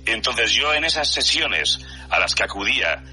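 A man's voice: on the low side, animated, moderate pace, bright, forceful tone, husky, soft background music. A man in his forties with a Spanish accent from Spain, talking reflectively and explanatorily as an interview guest. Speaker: interview guest, horror story channel narrator.

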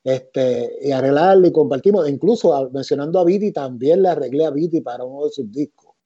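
A middle-aged man with a Puerto Rican accent, a musician and arranger talking about his career in an interview, relaxed and reflective, with many fillers. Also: fairly high pitch, a little fast, husky. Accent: Puerto Rican Spanish. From Puerto Rico. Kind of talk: interview answers, storytelling. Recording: dull lo-fi sound.

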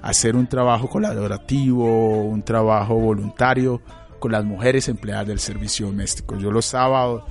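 A Colombian man in his late thirties, a psychologist and radio guest, answering in a thoughtful, halting way with many fillers and restarts. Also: lowish voice, fairly even intonation, faint music behind. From Colombia, Chocó. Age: late 30s. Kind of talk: reflective interview answers.

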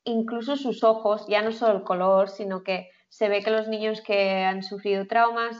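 A woman's voice: mid-range voice, steady tone, moderate pace. Brief: woman co-host, chatty, frequent laughs, dull lo-fi recording. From Philippines. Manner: chatty, laughs often, many fillers. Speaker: podcast co-host. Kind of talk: casual chat.

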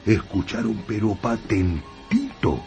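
An actor playing a folksy Chilean country storyteller, telling a spooky radio play tale in a colloquial, suspenseful way. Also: male voice, lowish voice, huge pitch swings, slow, vocal fry, mellow, soft background music, exaggerated voice. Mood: suspenseful, astonished. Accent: rural Chilean. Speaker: radio drama narrator.

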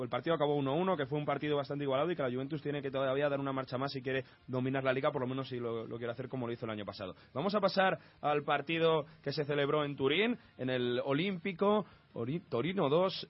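Brisk male radio sports host. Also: mid-range voice. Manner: brisk, steering questions, recapping results. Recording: dull, lo-fi radio sound.

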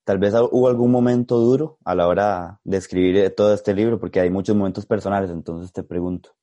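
Guy doing a casual interview, really low mellow voice, chatty. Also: steady tone, a little fast, dull audio.

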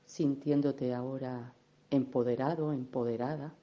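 A woman leading a guided meditation in a gentle, unhurried, soothing manner, with affectionate little diminutives. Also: rumbling low, fairly even intonation, lo-fi sound. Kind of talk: guided meditation, soft instruction.

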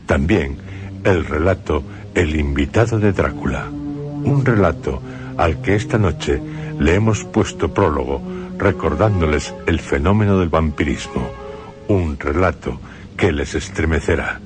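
A middle-aged man introduces a horror tale on radio with grave, ominous narration. His voice sits extremely low and he takes his time with each phrase. Music plays clearly beneath him. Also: lively intonation. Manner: slow, grave, ominous storytelling. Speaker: radio drama host. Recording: music under the voice.

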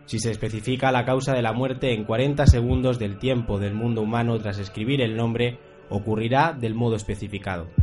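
A man's voice: medium pitch, fairly even intonation, fairly quick, close to an everyday voice. A man playing a grave, formal voice reciting a cursed book's deadly rules in a radio drama. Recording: music behind the voice.

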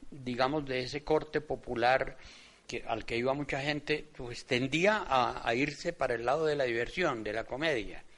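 An older man giving reflective interview commentary in halting, measured phrases. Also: mid-range voice, a bit bright. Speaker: interviewee commenting on theatre history.

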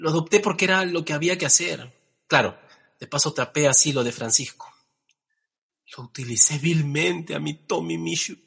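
A man's voice: on the high side, moderate pace, vocal fry, fairly bright tone, husky, heavily acted. An actor playing an anxious, melodramatic man grieving his lost cat, in a radio comedy, whiny and theatrical. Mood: contrite.

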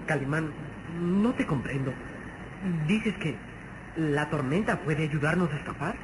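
A high, youthful voice of an anxious boy in an old radio adventure serial. He is curious and pleading, on a clearly noisy vintage recording. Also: animated, unhurried. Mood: puzzled, urgent. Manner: pleading, wondering questions. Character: anxious, curious young boy, hero's loyal sidekick.

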